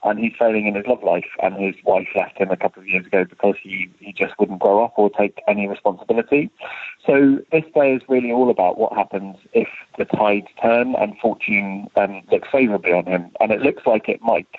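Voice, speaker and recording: A man's voice: on the low side, a little fast, dry recording. A man, a theatre director being interviewed, explains things in an articulate, storytelling way over a call. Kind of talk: informal interview, explaining a play. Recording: tinny, narrow phone-like line.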